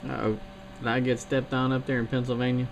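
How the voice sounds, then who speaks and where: A man's voice: medium pitch, level tone, brisk, vocal fry. A man from the South Carolina coast chatting on a CB radio, talking about his gear in a matter-of-fact, technical way. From Charleston, South Carolina area. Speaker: CB radio operator. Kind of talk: CB radio chat. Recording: radio link with loud static.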